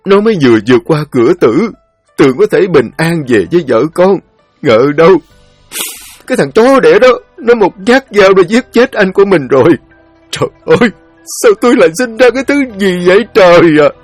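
A man's voice: high-pitched, animated, moderate pace, dry recording, faint music behind, voice pushed up. A man playing an old father who wails in anguish and rage over his murdered son. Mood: wailing. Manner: wailing, anguished, raging.

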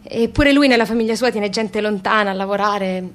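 An actress playing a young working-class daughter with a southern Italian accent, talking candidly and haltingly in a theatrical radio monologue. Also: female voice, mid-range voice, a bit bright, close to an everyday voice. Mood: bemused, chatty. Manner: colloquial, halting, repeating phrases.

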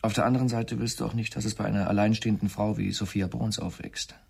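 An actor playing a guarded, earnest male astronomer in a German radio drama, husky and brisk with a dry, controlled manner. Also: on the low side, fairly even intonation, a little mellow, exaggerated voice. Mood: earnest, determined.

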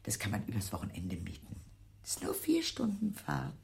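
An actress playing a scatterbrained, effusive older aunt in a German radio drama, halting and repeating herself with dramatic sighs. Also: female voice, a bass voice, wildly swooping pitch, vocal fry, breathy edge, heavily acted. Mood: excited.

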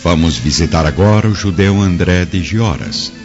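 A man's voice: low-pitched, animated, normal speed. A man narrating a radio drama in a dramatic, scripted style. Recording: muffled radio drama under loud music.

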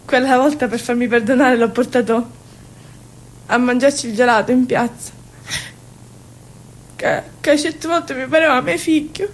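A woman's voice: high voice, measured pace, long pauses. An actress playing a naive, devoted Sicilian woman in an emotional stage monologue, with a Sicilian accent and a repetitive, childlike delivery. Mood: fond, amused. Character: naive, devoted Sicilian woman, fiercely attached to her cousin.

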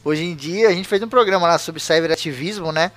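Man, slightly high voice, from Brazil, laid-back podcast co-host, casual swearing. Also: brisk, faint music behind. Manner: relaxed, swears casually, reads listener emails aloud. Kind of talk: casual chat.

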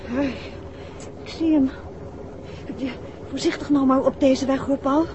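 A dull old radio recording with faint noise carries a detective's plucky wife. Her voice is high, attentive and cautioning, in 1960s radio drama style. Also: fairly even intonation, a little slow. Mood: anxious, cautioning.

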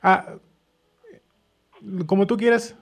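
A male radio host speaks in a slightly high, creaky voice. He interviews casually, asking politely and pausing to find his words. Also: little pitch movement.

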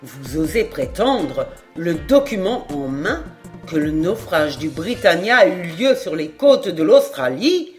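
A woman voicing a sceptical, proud British lord with a forceful, indignant manner in an audiobook. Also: sits low, expressive, measured pace, soft background music. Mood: incredulous. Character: incredulous, proud British lord challenging a scholar. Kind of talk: expressive audiobook character reading.

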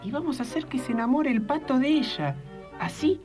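A woman playing an animated, coaxing children's show leader in an improvised comedy sketch. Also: high voice, brisk, music in the background. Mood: conspiratorial. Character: bossy, conspiring leader of children in kids' show.

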